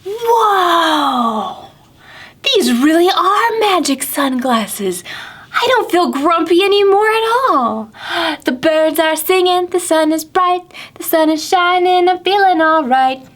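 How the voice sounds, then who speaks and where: Woman doing an upbeat high-pitched young cartoon cat. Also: expressive, slow pace, a bit bright, exaggerated voice. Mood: amazed, cheerful. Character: friendly easygoing young male cartoon cat. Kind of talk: children's picture-book character voice.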